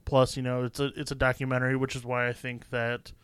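Smooth laid-back man reviewing movies. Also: mid-range voice, monotone, fast, a bit bright, dry recording. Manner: laid-back, rambling with fillers and restarts. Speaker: podcast co-host. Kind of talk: casual movie review chat.

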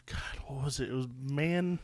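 A man's voice: mid-range voice, moderate pace, dry recording. Stuffy-nosed man, nasal and dry. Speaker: podcast co-host. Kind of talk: casual chat. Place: cold basement.

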